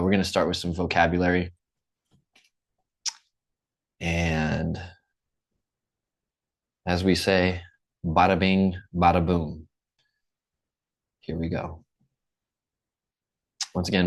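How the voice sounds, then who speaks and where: A young American man from the Midwest teaching an English class online, upbeat and checking in often. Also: low voice. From Midwest United States. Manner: upbeat, repeats and checks in with "okay?".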